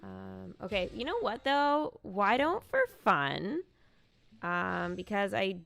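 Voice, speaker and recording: A woman hosting a live game stream in casual, excitable chat, thinking aloud as she goes. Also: mid-range voice, takes it slowly, gravelly. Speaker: livestream host, gamer.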